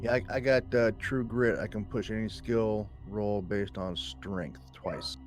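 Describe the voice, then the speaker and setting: A man's voice: on the low side, fairly even intonation, measured pace. A man chatting casually as a player in a tabletop roleplaying game. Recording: music playing behind the voice. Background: background music.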